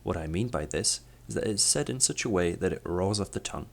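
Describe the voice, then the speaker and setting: Deep, gravelly young man teaching. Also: lively intonation. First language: Polish. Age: young adult. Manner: measured, explanatory, demonstrates sounds. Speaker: student presenter. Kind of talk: scripted language lesson.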